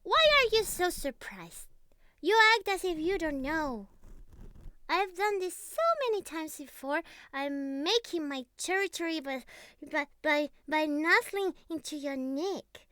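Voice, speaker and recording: A woman performs an affectionate young catgirl in an intimate ASMR roleplay. Her voice is high and bright, recorded very dry and close. She talks softly and cutely to her best friend, teasing and clingy, with breathy sighs and murmurs. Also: expressive, dry recording, exaggerated voice. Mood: cozy, possessive. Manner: soft, cutesy, breathy sighs and murmurs, slow. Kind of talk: intimate roleplay ASMR, scripted monologue to the listener.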